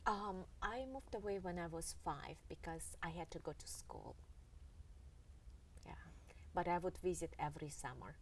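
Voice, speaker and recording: A middle-aged woman from Russia, an author telling family stories in an interview in a halting, earnest way with many pauses. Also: lowish voice, lively intonation. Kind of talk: reflective storytelling in an interview. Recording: distant mic with faint background noise.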